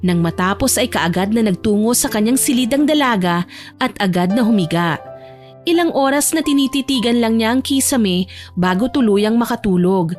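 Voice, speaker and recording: A woman's voice: on the high side, expressive, normal speed, a little mellow. A woman reading scripted narration as the storyteller of a romance audio drama. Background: background music.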